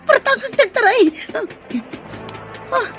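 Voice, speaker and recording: High-voiced frightened young Filipina rebel. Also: female voice, slow, a bit bright, faint music behind, heavily acted. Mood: panicked, desperate. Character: frightened young woman rebel fleeing for her life. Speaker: radio drama actress. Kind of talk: Filipino radio drama acting with inner narration. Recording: muffled lo-fi radio drama audio.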